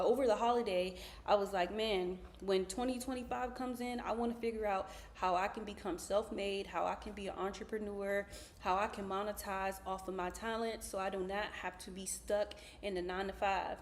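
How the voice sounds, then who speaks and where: A woman talking to camera in a casual story-time review video. She tells her story in a chatty, upbeat, personal way. Her voice sits at an ordinary middle pitch. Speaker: viewer giving a product review.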